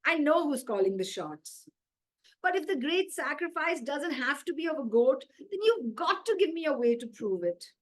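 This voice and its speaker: A woman voicing a plucky male goat in a children's story, exclaiming with emphatic, drawn-out stresses. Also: high voice, sing-song, pitched above the performer's own voice. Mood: defiant. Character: plucky, excitable male goat, hopeful and indignant.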